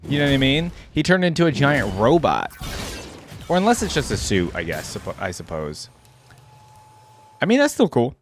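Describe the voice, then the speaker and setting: Man, gamer reaction commentary, informal, thinking aloud, animated mid-range voice, faint music behind. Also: mid-range voice, moderate pace, pauses often. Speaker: gamer reacting to game character skins.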